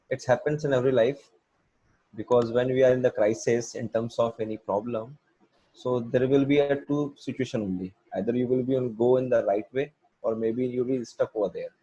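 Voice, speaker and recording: A man's voice: medium pitch, fairly even intonation, normal speed, dark tone, husky. A man sharing a reflection on a group video call in plain, somewhat unidiomatic English. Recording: muffled video call audio.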